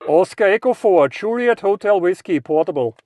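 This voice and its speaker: A man's voice: sits high, lively intonation, moderate pace, darkish tone, a little hiss or hum. A man operating amateur radio, commenting casually between calls and spelling callsigns in phonetic words.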